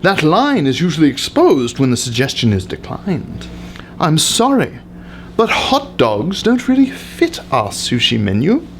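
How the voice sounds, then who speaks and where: A man reads an essay aloud as high drama, pompous and heavily emphatic. His voice sits somewhat high and swoops widely in pitch. There is some noise in the recording. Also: huge pitch swings, measured pace. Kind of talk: theatrical dramatic reading of an essay.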